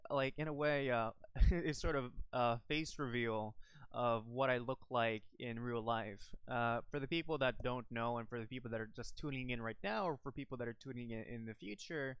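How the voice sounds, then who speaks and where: A man's voice: medium pitch, a bit bright, clean tone, dry recording. A young man from the Philippines chatting casually on a live stream from home, rambling with lots of fillers and restarts into a desk microphone. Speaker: YouTuber streaming about an online game.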